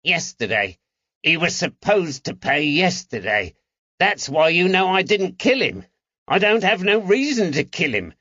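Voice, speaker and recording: Cockney moneylender, high pitched, whining, pleading, defensive, dry slightly dull audiobook recording. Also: male voice, sing-song, a little slow, pushed and bright, no echo, heavily acted. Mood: defensive, wheedling. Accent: London Cockney. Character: snivelling, indignant Cockney moneylender man, a whining crook. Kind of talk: audiobook character acting.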